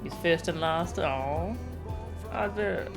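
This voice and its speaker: Lady game streamer, lowish voice, casual short reactions, game music playing behind. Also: takes it slowly. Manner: musing aloud in short remarks. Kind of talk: casual live reaction commentary over a game. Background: video game music.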